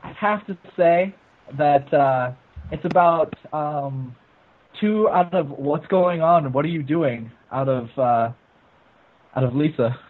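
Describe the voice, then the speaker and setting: A man's voice: a little high, slow, narrow, tinny audio. A man giving his opinion as a guest in a casual group film review, heard over a thin internet call.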